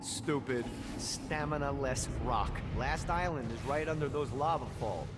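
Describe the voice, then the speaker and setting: An actor playing a brash, sarcastic mentor who gives wry, casual instructions as a video game character. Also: male voice, medium pitch, measured pace, very noisy, lightly acted. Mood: wry instructing. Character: brash, sarcastic, foul-mouthed male mentor guide. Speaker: tutorial guide character.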